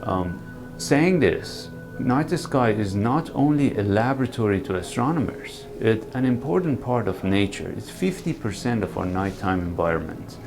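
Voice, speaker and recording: Earnest man, low voice. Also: moderate pace, music in the background. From Iran. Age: 40s. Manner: calm, earnest and reflective, with small hesitations. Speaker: night sky photographer. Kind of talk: documentary interview monologue.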